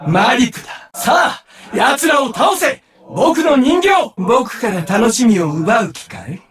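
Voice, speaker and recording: A man's voice: extremely high pitch, very animated, moderate pace, a bit bright, shouting, full character voice. A man playing a menacing, vengeful young duelist villain in an anime card game, with a theatrical, taunting delivery. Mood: wrathful, commanding. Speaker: voice actor. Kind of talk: Japanese anime game character lines.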